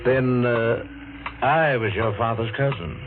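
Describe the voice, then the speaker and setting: An actor playing an affable but sly older man in an old radio mystery, talking in halting, musing phrases. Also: mid-range voice, natural voice. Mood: probing, genial. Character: affable, sly older male cousin hiding guilt. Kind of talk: 1940s radio mystery acting. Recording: noisy, muffled vintage radio recording.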